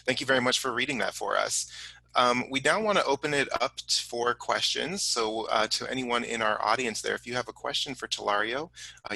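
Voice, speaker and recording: A man's voice: on the low side, creaky, sharp tone, no room sound, rough recording. A man hosting a casual online question-and-answer session for children, speaking in an encouraging, appreciative manner. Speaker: host of an online children's science story event. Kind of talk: casual audience Q&A moderating.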